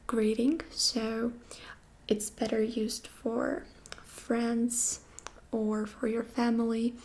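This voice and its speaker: A woman whispers a gentle language lesson right into a close microphone, her voice sitting a little high. She takes her time and says each phrase again and again. The delivery stays hushed and unhurried throughout. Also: slight background noise. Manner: soft whisper, slow repetitions. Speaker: language teacher.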